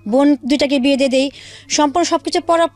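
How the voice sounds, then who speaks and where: A woman's voice: sits high, fast talker, darkish tone, no echo. A woman telling her own life story as a radio interview guest, recounting it in detail and acting out remembered dialogue in her own voice. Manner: recounts in detail, quoting past conversations as dialogue.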